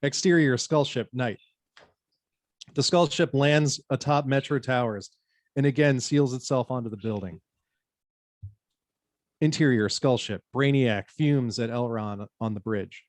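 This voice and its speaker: A man's voice: mid-range voice, a little slow, pauses often, no room sound. A man reading stage directions as narrator in a scripted table read.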